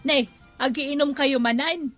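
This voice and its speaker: An actress playing a strict, gossipy village councillor in a Filipino radio comedy drama, scolding, with an Ilocano accent. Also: female voice, sits high, a little slow, a bit bright, soft background music. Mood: strict, suspicious.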